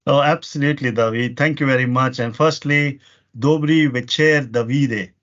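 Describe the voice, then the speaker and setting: A man's voice: medium pitch, steady tone, moderate pace, a bit bright. A man in his forties, a podcast guest, talking earnestly about his career in long runs full of fillers. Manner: earnest, talks in long lists with many fillers. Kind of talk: conversational interview answers. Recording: muffled lo-fi audio.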